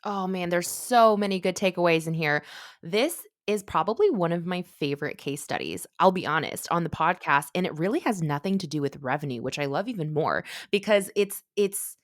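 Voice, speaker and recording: A woman host with a low voice wraps up the interview in an enthusiastic, earnest tone. The sound is dry and close, with no room around it. She speaks in a warm, reflective podcast manner. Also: expressive, normal speed, dry recording.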